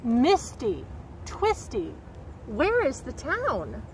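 A middle-aged woman reading a picture book aloud to young children in an expressive, carefully enunciated way, recorded outdoors. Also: high voice, very slow. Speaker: storybook reader and nature educator. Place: outdoors by a woodland creek. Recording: outdoor background noise.

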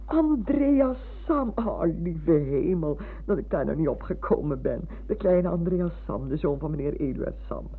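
Old retired schoolmistress, husky and excitable, effusive radio-play delivery, muffled lo-fi recording. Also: female voice, low-pitched, a little slow, few pauses, heavily acted. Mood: moved, amazed. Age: older. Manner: effusive, chatty, exclaiming. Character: elderly former schoolmistress, warm, chatty, excitable wife. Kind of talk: Dutch radio play acting.

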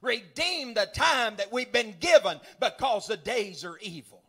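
A middle-aged preacher man with a strikingly high-pitched voice. He talks in a folksy, rambling way, repeating words as he gathers steam, and scatters hallelujahs and amens throughout as he builds to shouting. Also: very animated, unhurried, breathy edge. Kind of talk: sermon.

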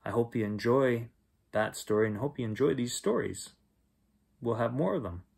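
A middle-aged man reads a children's bedtime story aloud as its host, with expressive storytelling. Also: medium pitch, moderate pace, vocal fry, slightly rough. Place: small bedroom at home, indoors.

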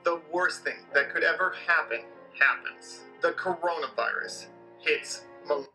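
A man narrating an online explainer video in an energetic, emphatic way, played back from the video. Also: fairly high pitch, a little slow. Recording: played through speakers with background music.

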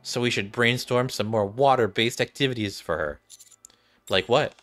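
A man's voice: medium pitch, normal speed, fairly bright tone. A young adult man, a game streamer, reading visual novel dialogue aloud. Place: small indoor room. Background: game music.

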